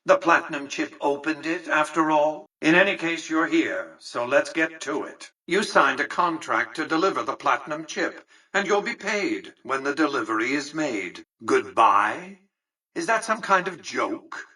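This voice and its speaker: An actor playing an imperious, calculating elderly tycoon in a video game, speaking formally with precise, condescending diction. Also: male voice, fairly high pitch, lively intonation, a little slow, sharp tone, breathy edge, distant mic, exaggerated voice. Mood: scornful, impatient. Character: imperious elderly male tycoon ruling a casino city, coldly calculating. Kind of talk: scripted game dialogue.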